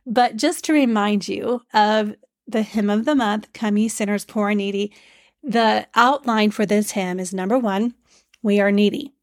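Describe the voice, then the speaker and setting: A woman with a stuffed-up nose hosting a devotional hymn podcast, speaking in a gentle, teacherly way with frequent fillers. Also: medium pitch, a little slow, no room sound, clean studio sound. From Iowa, USA. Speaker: podcast host.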